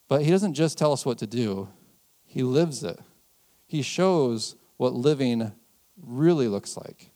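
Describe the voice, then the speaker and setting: A man's voice: mid-range voice, darkish tone. Conversational American male pastor. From United States. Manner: conversational, laughs often, slows down on key phrases. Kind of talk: sermon.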